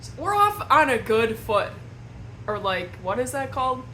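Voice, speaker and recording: A young woman from Wisconsin, slightly hoarse from a cold, chatting to camera at home in a rambling, self-deprecating way as a beauty vlogger. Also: fairly high pitch, sing-song, normal speed, sharp tone, a little hiss or hum, low-quality recording. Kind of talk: casual chat to camera. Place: at home indoors.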